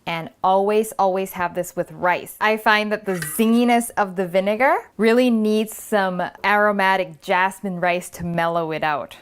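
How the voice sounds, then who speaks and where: A woman's voice: medium pitch, moderate pace, few pauses, clear, resonant tone. A woman hosting a cooking video, chatting casually and encouragingly as she cooks at the stove in a kitchen. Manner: chatty, encouraging, thinking aloud while cooking. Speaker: cooking show host. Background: food sizzling in a pan.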